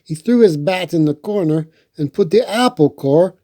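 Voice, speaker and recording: A man's voice: fairly high pitch, expressive, moderate pace, warm tone. A man hosting storytime, reading a children's book and adding folksy, chatty asides. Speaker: storyteller and host.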